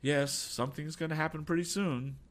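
A man voicing a bold, confident sea captain in an adventure storybook, with a brisk, commanding manner. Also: fairly high pitch, steady tone, a bit bright, voice pushed up, lightly acted. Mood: foreboding. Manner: urgent, exclamatory, commanding. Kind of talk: audiobook adventure character.

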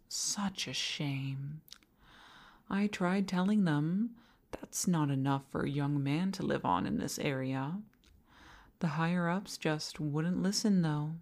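A woman playing an obsessive older female boss in an intimate audio roleplay, coaxing with condescending sweetness and slipping into breathy half-whispers. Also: deep voice, a little mellow.

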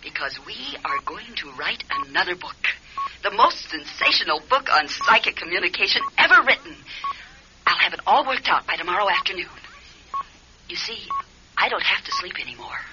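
A woman's voice: on the low side, lively intonation, normal speed, natural voice. An actress playing a cunning, controlling psychic woman who commands by telepathy in a radio drama. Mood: scheming, smug. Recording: dull lo-fi radio recording, clear noise.

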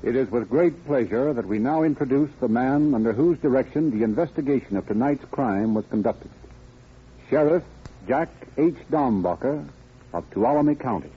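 A man's voice: medium pitch, lively intonation, moderate pace, a little hiss or hum. 1930s radio announcer guy narrating, medium voice, very muffled old recording, music.

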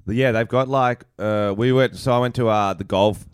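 A man's voice: mid-range voice, fairly even intonation, normal speed, clear, resonant tone. An Australian man co-hosting a podcast, talking in a laid-back, rambling way with casual swearing. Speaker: podcast co-host. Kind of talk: casual banter.

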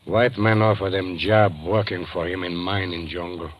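Actor as deep-voiced, halting Spanish-accented village chief. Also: male voice, little pitch movement, muffled recording, close to an everyday voice. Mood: grave, resigned. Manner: halting, clipped broken English. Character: village chief, grave older man, terse broken speech. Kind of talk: old-time radio adventure drama acting. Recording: noisy vintage radio broadcast recording.

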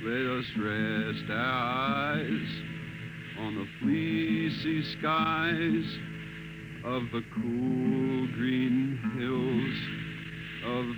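A man's voice: medium pitch, fairly even intonation, very slow, low-quality recording. A man singing a ballad theatrically in an old-time radio drama. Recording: vintage radio recording with prominent music.

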